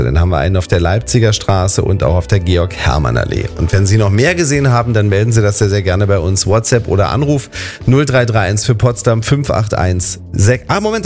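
A man's voice: on the low side, a little mellow. A man hosting a regional morning radio show, cheerfully reading weather and traffic in a chatty way. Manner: chatty, cheerful, conversational fillers.

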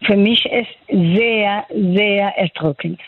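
Older woman, Afghan accent, muffled sound. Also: medium pitch. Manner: halting, searching for words. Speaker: doctor, interviewee. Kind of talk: spontaneous interview answers.

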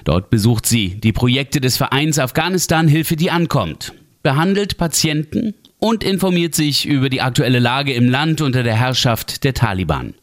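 Lively man presenting radio news. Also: mid-range voice, moderate pace. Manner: smooth, read-out moderation. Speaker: radio host.

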